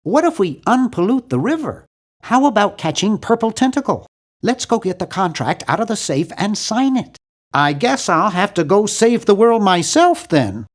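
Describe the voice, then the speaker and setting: A man voicing a nerdy, earnest and anxious young hero in a video game, with a fussy, animated delivery. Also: high-pitched, normal speed. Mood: worried, pleading. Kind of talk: video game character voice lines.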